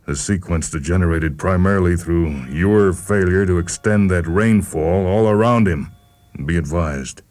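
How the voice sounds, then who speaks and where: A man's voice: low voice, measured pace, darkish tone. A man voicing a cold, authoritative alien overseer who gives clipped, formal orders in a science fiction story. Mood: stern, commanding.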